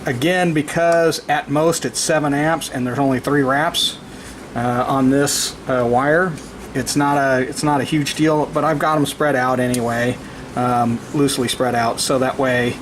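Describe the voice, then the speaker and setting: A man giving an unscripted, halting step-by-step installation walkthrough, explaining as he works. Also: mid-range voice, fairly even intonation. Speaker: DIY vlogger. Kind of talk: hands-on installation walkthrough, explaining as they work. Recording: clearly noisy location recording with ambient sound.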